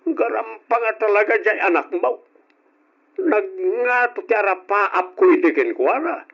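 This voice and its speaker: A man's voice: high voice, animated, measured pace, full character voice. Stern, disappointed father. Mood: bitter. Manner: reproachful. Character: stern disappointed father scolding his daughter. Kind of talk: old radio drama acting. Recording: dull old radio recording.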